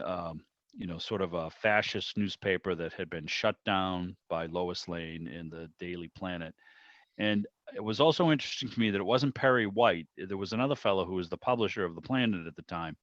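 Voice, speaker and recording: A man's voice: low voice, fairly bright tone, no room sound. A man hosting a club video call in casual chat, rambling and breaking off his own sentences. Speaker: club meeting host. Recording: slightly dull video-call audio.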